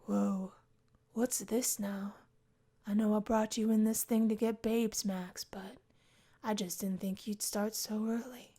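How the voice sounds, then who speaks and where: A woman doing a breathy, suave young bassist. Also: little pitch movement, slow pace, warm tone. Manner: breathy, half-whispered, smooth.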